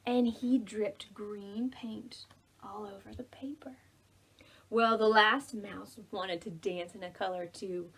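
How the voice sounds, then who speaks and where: A woman hosting preschool storytime as a children's librarian, reading aloud and leading action rhymes in an animated, singsong, exaggerated way for small children. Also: on the high side, slightly rough, rough recording.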